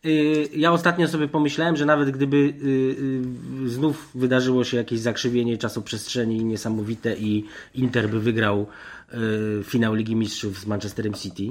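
A man talking through football opinions as a podcast panellist, in a halting, digressive way with many self-corrections. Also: medium pitch, flat delivery. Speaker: sports journalist and podcast panellist. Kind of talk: animated football discussion.

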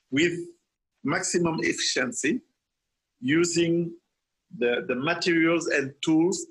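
A man's voice: fairly high pitch, fairly bright tone, rough recording. A man from Senegal, an architect, answering interview questions earnestly, with frequent restarts and repeated words.

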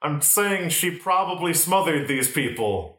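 A man's voice: on the high side, expressive, measured pace, a bit bright. A man playing an accusatory, muddled detective in an improvised comedy radio mystery. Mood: accusing. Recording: echoey room recording.

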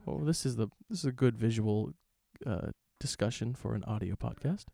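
A man's voice: fairly low pitch, animated, moderate pace, gravelly, mellow, dry recording. Hesitant man on a dry podcast mic. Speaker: podcast co-host. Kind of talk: casual review chat.

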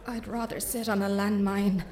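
A woman acting in an audio comedy drama as the mischievous, mouthy inner voice of a young woman weary of dating, sardonic, exasperated and quick to swear. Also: medium pitch, level tone, a little mellow, reverberant, recorded from a distance, faint music behind, natural voice. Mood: indignant.

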